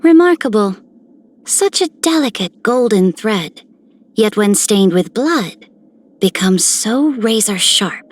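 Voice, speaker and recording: A woman's voice: mid-range voice, lively intonation, takes it slowly. A woman playing a haughty, theatrical noblewoman in a video game, stately and faintly menacing. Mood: imperious admiration.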